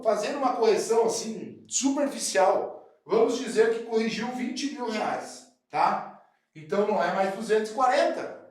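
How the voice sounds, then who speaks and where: Man with a cold, high voice, very echoey room, chatty teaching, from southern brazil. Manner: chatty, checks in with "beleza?" and "tá?". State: has a cold. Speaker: real estate broker coach. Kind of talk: explanatory talk to camera.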